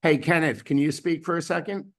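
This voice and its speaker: Hoarse man hosting a team call. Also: fairly high pitch, brisk, fairly bright tone. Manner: rambling, many fillers and restarts. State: hoarse from laryngitis. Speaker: host of a weekly team call. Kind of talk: casual team announcements. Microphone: video call.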